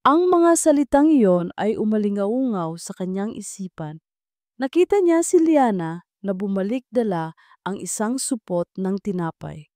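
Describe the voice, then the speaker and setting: A woman narrates a dramatic story from a script. Her voice is soft-edged and mellow, with a middle pitch, and the recording is very dry and close, with no room sound. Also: no room sound.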